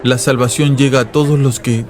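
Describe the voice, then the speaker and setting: Un hombre haciendo de fanático religioso ronco. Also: male voice, mid-range voice, fairly even intonation, normal speed, over music, lightly acted. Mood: pleading, urgent. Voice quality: hoarse. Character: feverish, shabby male religious zealot, pleading insistently.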